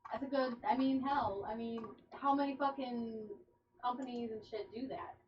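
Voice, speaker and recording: A woman co-hosting a casual radio show. She swears freely and talks low, almost in a whisper, from far off the mic. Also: steady tone, normal speed, a crowd in the background. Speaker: co-host. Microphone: sitting far from the mic. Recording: dull lo-fi stream audio with faint hiss.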